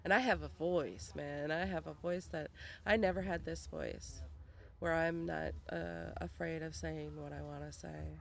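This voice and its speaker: A woman being interviewed in a vendor tent outdoors at a festival, talking slowly and haltingly with many fillers. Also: deep voice, fairly even intonation, vocal fry. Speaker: interview guest. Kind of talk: casual interview. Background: faint air compressor noise.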